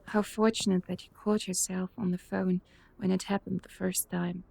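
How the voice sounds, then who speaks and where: A woman playing a calm, frank young woman in an audio drama. Her mellow, dark voice carries a lot of vocal fry, and she teases in an even, gentle way. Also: fairly low pitch, moderate pace, a little hiss or hum.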